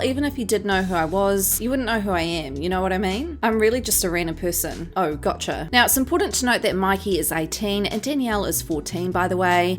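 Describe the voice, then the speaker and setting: Fast-talking woman narrating over music. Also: on the low side. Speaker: host of an online drama commentary channel. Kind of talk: scripted news-style narration reading out social media posts. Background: background music.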